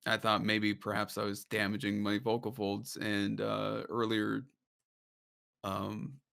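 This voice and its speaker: Male podcast guest, low gravelly voice with a bright edge, laughs often, casual. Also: monotone, slow pace. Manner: laughs often, explains at length. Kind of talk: casual chat.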